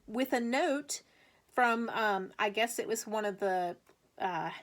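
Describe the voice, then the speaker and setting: A woman teacher reading aloud to young children with chatty asides, speaking deliberately and putting questions to her listeners in an encouraging way. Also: a little high. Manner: slow, deliberate, emphatic when reading aloud.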